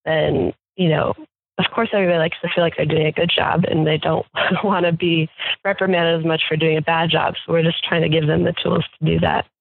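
A woman's voice: low voice, level tone, a little fast, gravelly, dry recording. A woman farm manager talking casually in an interview, chatty with fillers and restarts. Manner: chatty, many fillers and restarts, laughs often. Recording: tinny phone line, dry.

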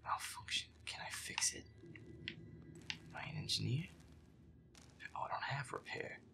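A man playing a feisty werewolf boyfriend who grumbles and swears sarcastically through a scary video game in a breathy, whispery voice. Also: lowish voice, measured pace. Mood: sarcastic grumbling. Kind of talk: gameplay roleplay, muttered reactions.

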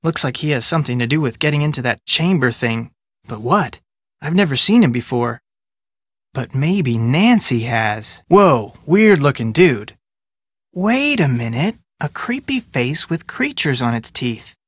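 An actor playing an upbeat, polite but persistent young detective in a video game, with an American accent and a casual, earnest delivery. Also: male voice, medium pitch, very animated, measured pace, a bit bright, husky, no echo, studio quality, heavily acted. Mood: musing. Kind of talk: scripted video game dialogue.

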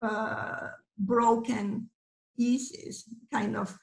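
A woman in her fifties with an Argentine accent and native Spanish, talking informally as a guest in a measured, halting way, searching for words. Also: on the high side, little pitch movement, gravelly. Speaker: guest, mosaic artist. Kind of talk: informal interview talk. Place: small indoor studio room. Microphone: computer mic on video call. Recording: roomy video-call sound.

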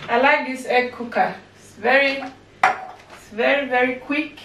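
A woman's voice: high voice, a little slow. A woman in her thirties chatting casually as she cooks in her home kitchen, recorded on a camera mic set up across the room. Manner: chatty, talks while chopping and cooking. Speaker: cooking vlogger. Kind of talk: casual cooking narration. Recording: echoey kitchen, far from mic, background noise.

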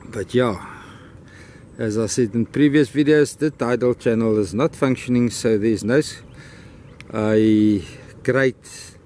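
Afrikaans-accented man, mid-pitched, rambling outdoor vlog talk on a camera mic, faint background noise. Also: a little mellow. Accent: Afrikaans-accented English. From South Africa. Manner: rambling, grateful, talks fondly to his dog. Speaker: vlogger. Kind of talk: casual vlog narration while walking a dog. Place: outdoors on a beach and a wooden boardwalk trail.

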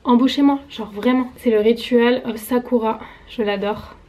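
A woman's voice: fairly high pitch, fairly even intonation, normal speed, warm tone, poor audio. A young woman in her twenties vlogging at home with a camera held at arm's length, chatty and casual, with a slight cold. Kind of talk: casual vlog chat. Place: home bedroom indoors. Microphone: handheld camera at arm's length.

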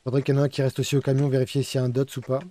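A man's voice: medium pitch, monotone, very fast, dark tone. A man streaming a ghost-hunting game live, giving urgent, repetitive directions to his teammates. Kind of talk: live gaming commentary.

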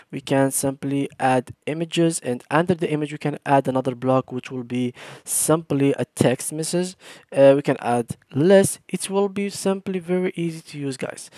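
A man walking viewers step by step through a software tutorial over a screen recording, in plain instructing speech with slightly non-native phrasing. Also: a little high, dry recording. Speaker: tutorial host.

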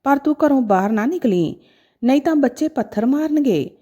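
Storybook role: patient, caring adult son, reassuring and firm, softly mellow, read by a woman. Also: high voice, lively intonation, normal speed. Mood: gentle, reassuring. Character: patient, devoted grown son, gentle but firm.

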